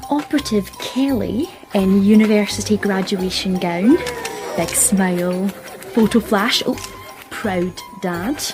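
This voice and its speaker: A woman's voice: medium pitch, takes it slowly, music in the background. An actress playing a shy, wry, unemployed young woman graduate in a radio play, hesitant aloud and confiding in her inner monologue. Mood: dry, self-mocking. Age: young adult.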